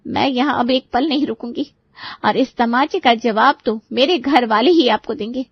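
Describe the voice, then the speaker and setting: Woman doing a hurt young daughter-in-law in a story drama, high voice, pleading her case, dry close sound. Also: normal speed, husky, no room sound, muffled recording. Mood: hurt, defiant. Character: wronged, dutiful 25-year-old daughter-in-law. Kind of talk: dramatized Hindi moral story.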